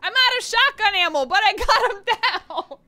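Animated, high-pitched woman game streamer. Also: normal speed, no room sound. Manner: animated, giggly, swears casually. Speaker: live streamer playing a video game. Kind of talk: casual live game commentary and chat.

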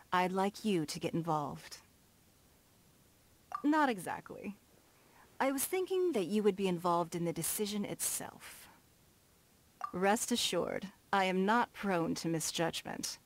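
An actress playing a stately, shrewd noblewoman in a video game, formal, measured and self-assured, with prominent S sounds. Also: fairly low pitch. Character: poised, stately noblewoman and shrewd business magnate. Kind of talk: video game character dialogue. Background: background music.

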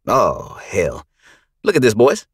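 A man's voice: on the low side, huge pitch swings, slow, husky, dry recording, studio quality, pitched above the performer's own voice, heavily acted. A man voicing a gangly male gang leader who taunts and laughs for his crew. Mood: mocking.

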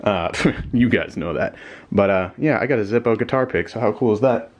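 A man's voice: lowish voice, brisk, highs cut off. A man casually chatting while unboxing packages from fans and gaming companies, grateful and rambling with asides, recorded indoors with the camera pointed at the floor. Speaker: gaming YouTuber unboxing fan mail.

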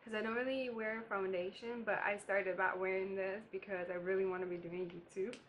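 A woman's voice: mid-range voice, steady tone, fairly quick, muffled recording, a little hiss or hum. Chatty young woman from Barbados. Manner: chatty, casual, laughing asides while applying makeup. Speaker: beauty vlogger. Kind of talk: chatty makeup tutorial. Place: bedroom, indoors.

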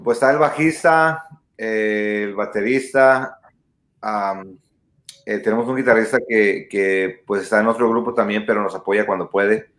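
A low-voiced man of thirty speaks over a webcam mic, his words bouncing around a small echoey room. He talks earnestly and haltingly, circling back with fillers and restarts as he explains things. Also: a bit bright. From born in Texas, raised in San Diego and Tijuana. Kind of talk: casual interview over video call. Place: small room indoors at home. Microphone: webcam mic on video call.

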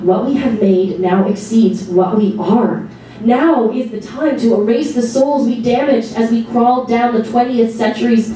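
A fervent female visionary, played by a woman on stage proclaims her prophecy with urgent, declamatory force. The voice is highish and mellow, heard from afar in a very echoey theatre. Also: slight background noise, natural voice. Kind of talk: dramatic stage reading. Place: small theatre stage with audience. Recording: echoey stage recording from far off.

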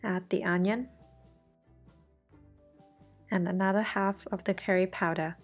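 A woman from Thailand narrates a home cooking lesson in voice-over, explaining each step in a friendly, reassuring way. Her voice sits at an ordinary middle pitch, and soft music plays faintly underneath. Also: fairly even intonation, unhurried. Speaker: cooking show host.

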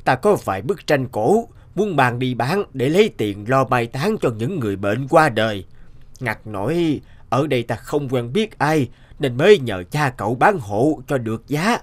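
A man's voice: mid-range voice, big pitch swings, moderate pace. A man playing a kindly, worried older man in a cartoon, earnest and a little hesitant as he seeks help. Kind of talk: animated cartoon dialogue.

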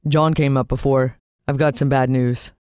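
A woman's voice: rumbling low, fairly even intonation, moderate pace, a little mellow, clear, resonant tone, dry recording, clean studio sound. Woman as a subdued, sombre storybook dad. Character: sombre dad breaking bad news at breakfast.

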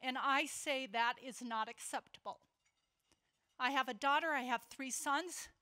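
An older woman giving a conference keynote speech, earnest and emphatic, slowing down to stress key points. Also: high voice, bright, forceful tone. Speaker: keynote speaker. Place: convention hall.

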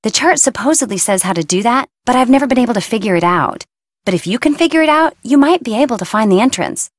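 Casting: a young surfer girl for a video game. Blunt, breezy, slangy delivery with lively swings in pitch, recorded dry. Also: quick pace, no echo. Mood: confiding, matter-of-fact. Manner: casual, slangy, flippant. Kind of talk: video game voice acting, casual slangy dialogue.

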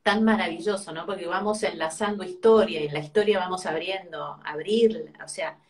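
A woman's voice: on the low side, moderate pace. A middle-aged woman with an Argentine Spanish accent explains things reflectively on a video call, often checking in with short tag questions. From Argentina, born in Brazil. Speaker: host, family constellations teacher. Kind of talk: casual live video conversation, explanatory. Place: furnished room at home.

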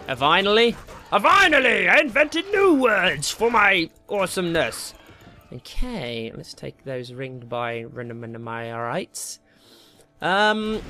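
A man giving casual, excitable and jokey let's play commentary as he hosts a gaming video. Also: medium pitch. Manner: excitable, jokey, mildly crude exclamations. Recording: game music behind the voice. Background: video game music.

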